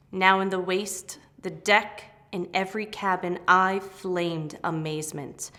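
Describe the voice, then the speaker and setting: A woman's voice: mid-range voice, a bit bright. A woman playing an eager, proud airy spirit servant in a declamatory, emphatic Shakespearean stage reading. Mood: vivid, excited. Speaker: actor in dramatic reading.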